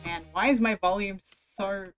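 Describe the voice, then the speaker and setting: Woman streamer, medium-pitched voice. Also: measured pace. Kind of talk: casual gameplay commentary. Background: game music.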